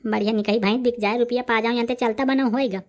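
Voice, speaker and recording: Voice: mid-range voice, fairly even intonation, quick pace, natural voice. An actor with a Bundelkhandi accent voicing a brash, argumentative village man haggling in a comedy cartoon. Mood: boastful, haggling.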